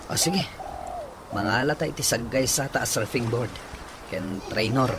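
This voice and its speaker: A man's voice: mid-range voice, normal speed, background noise, natural voice. A man acting a hesitant yet blunt young office worker in an Ilocano radio drama, speaking with an Ilocano accent. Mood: interested, agreeable.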